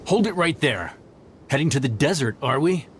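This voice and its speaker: An actor as a threatening male thug in a video game. His voice sits fairly high and moves animatedly, with slight noise in the background. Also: lively intonation, moderate pace, close to an everyday voice. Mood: menacing.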